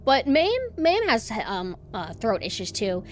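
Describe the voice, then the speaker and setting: A woman streamer with a monotone voice, chatting casually and rambling with lots of fillers and restarts. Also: fairly high pitch, measured pace, faint music behind. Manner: rambling, self-deprecating, many fillers and restarts. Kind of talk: casual chat.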